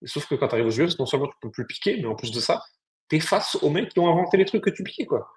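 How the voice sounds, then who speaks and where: A man's voice: fairly high pitch, very animated, fast. A man co-hosting a business podcast, explaining his points bluntly in casual, slangy chat. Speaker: podcast co-host, agency founder.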